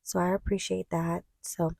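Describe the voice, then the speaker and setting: A woman vlogger chats informally to her viewers in a lowish, creaky voice. She sounds a little congested, as if getting over a cold, and gushes casually over the product. The sound is dry, with very little room. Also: flat delivery, normal speed, a little mellow, no room sound. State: slightly stuffy, recovering voice. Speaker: beauty vlogger reviewing wigs.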